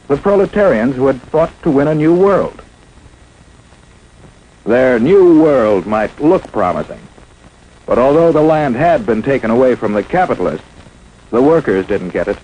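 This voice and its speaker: Casting: male American narrator for a 1950s instructional film, dramatic and emphatic, lively swings in pitch, lightly noisy vintage soundtrack. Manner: emphatic, dramatic, rhetorical questioning.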